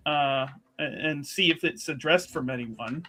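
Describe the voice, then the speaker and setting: A man's voice: a little high, a little slow, pushed and bright, a little hiss or hum. A man casually narrating his character's actions and bantering as a tabletop roleplaying player.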